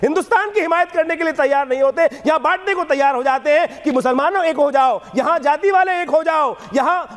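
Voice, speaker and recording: A man's voice: very high voice, a little fast, few pauses, a bit bright. A man giving impassioned political oratory as a debate panelist, declamatory, with rhetorical questions and repetitive lists. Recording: echoing hall-like reverb.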